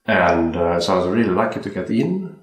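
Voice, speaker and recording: A young man from Sweden talks about his watchmaking career in an easy, halting way, restarting and repeating words as he thinks. His voice sits very low. The sound bounces around a home room. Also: big pitch swings. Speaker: watchmaker guest. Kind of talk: casual interview chat. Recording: roomy home interview.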